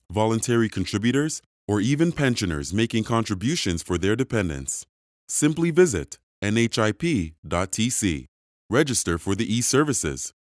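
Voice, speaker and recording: Male announcer, lowish pitch, animated intonation, scripted public service ad, dry sound. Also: no room sound. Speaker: advertising voice-over.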